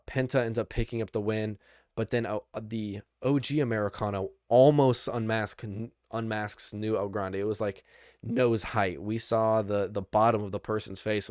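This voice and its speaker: A young man hosting a podcast, recapping wrestling in casual chat, rambling and excitable, with lots of restarts. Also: lowish voice, no room sound, studio quality. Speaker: podcast host.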